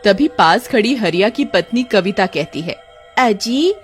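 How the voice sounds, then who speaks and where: Female narrator for a children's animated story: scripted storytelling, mid-range voice, music bed. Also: mid-range voice, moderate pace.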